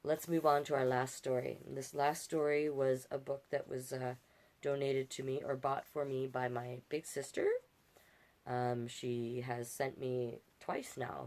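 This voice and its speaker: A woman hosting a livestreamed storytime, reading picture books in an animated way with chatty, encouraging asides to viewers. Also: extremely low pitch, vocal fry. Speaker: storytime host and reader.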